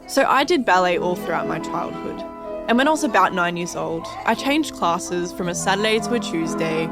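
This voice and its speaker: A woman's voice: fairly low pitch, expressive, normal speed, music in the background. A woman with an Australian accent reading scripted narration as a radio host.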